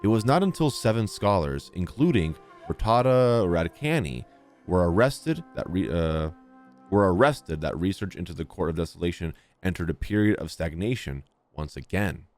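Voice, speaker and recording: A man's voice: deep voice, very animated, moderate pace, a little mellow. A man streaming a video game, reading on-screen text aloud and reacting casually. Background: game music.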